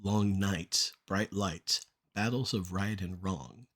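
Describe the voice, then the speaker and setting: A middle-aged man performing rhymed spoken-word poetry in a measured, deliberate delivery with short phrases. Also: deep voice, a bit bright, husky.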